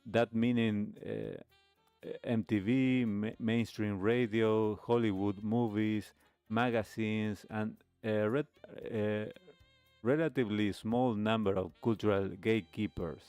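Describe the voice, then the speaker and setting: Guy hosting a nostalgia talk show, lowish voice, measured and halting, really dry sound, faint music. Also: steady tone, a little mellow, smooth, no echo. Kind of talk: reaction commentary on a video.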